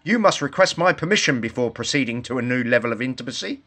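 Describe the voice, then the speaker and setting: A man's voice: mid-range voice, lively intonation, fast, pushed and bright. A man voicing an earnest, self-assured little girl in a storybook, lecturing in formal, indignant phrases.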